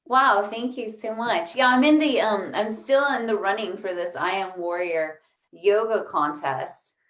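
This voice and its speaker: A woman's voice: mid-range voice, a little slow, a bit bright. Casual rambling woman in an echoey room. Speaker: guest, yoga practitioner. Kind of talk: casual chat. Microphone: video call. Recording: roomy, echoey call audio.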